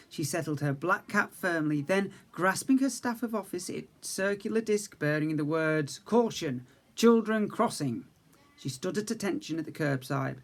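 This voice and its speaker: A male teacher reading a storybook aloud to young children, lively, voicing characters higher and dropping to quiet asides. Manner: lively reading, raises pitch for characters' speech; self-corrects slips mid-sentence.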